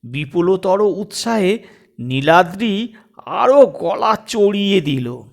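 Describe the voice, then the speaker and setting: A man reading a comic story aloud as its storyteller, in expressive scripted narration. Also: high-pitched, takes it slowly, vocal fry.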